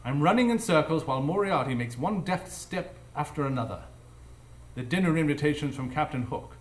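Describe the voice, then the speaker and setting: An actor playing a stern, agitated analytical detective, formal and accusing, in a live radio drama. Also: male voice, a little high, expressive, fairly quick, close to an everyday voice. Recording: roomy live stage echo.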